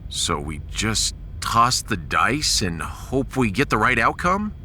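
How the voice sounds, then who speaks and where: A man's voice: lowish voice, big pitch swings, slow pace, bright, forceful tone, slight background noise, exaggerated voice. A man playing a bold, sarcastic starship captain in a science fiction audio drama, with a dramatic and wry delivery. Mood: questioning.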